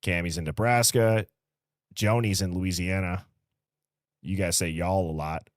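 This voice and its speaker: Low-voiced American man, casual livestream host. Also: fairly even intonation, unhurried, no echo, clean studio sound. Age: early 30s. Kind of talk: casual live-stream chat, reading out viewer comments.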